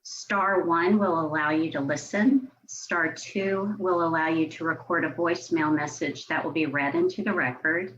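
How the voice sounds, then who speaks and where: A woman with an American accent and a slightly low voice reads out procedural items as a municipal staff member. Her delivery is formal and official. The online meeting audio is a little muffled, with faint noise behind her. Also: steady tone, normal speed, few pauses, fairly bright tone. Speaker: city staff member at a public commission meeting.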